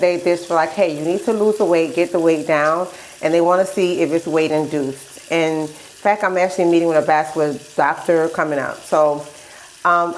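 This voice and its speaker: Low-voiced woman with a stuffy nose. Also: slight background noise, poor audio. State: stuffy, getting over a cold. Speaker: vlogger sharing health journey. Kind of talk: casual personal video diary chat.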